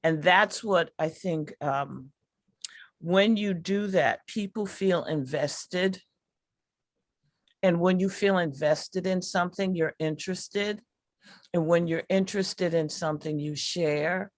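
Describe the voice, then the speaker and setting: A woman reflecting as a workshop artist on a video call, speaking in emphatic, halting phrases. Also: lowish voice, dry recording, studio quality. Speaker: workshop artist leading the project. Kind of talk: reflective discussion.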